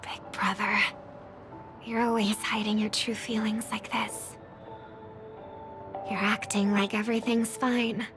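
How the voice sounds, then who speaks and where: A woman voicing a gentle, worried young singer and devoted little sister in a game, speaking softly and pensively. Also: medium pitch, flat delivery, a little slow, pauses often, close to an everyday voice. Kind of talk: game voice acting, soft dramatic dialogue. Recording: background music under the voice.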